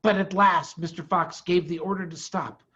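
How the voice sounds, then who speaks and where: A middle-aged man reading a children's story aloud expressively, with deliberate dramatic emphasis. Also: high-pitched, steady tone, sharp tone. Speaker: storybook reader, head of school. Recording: dull, muffled lo-fi audio.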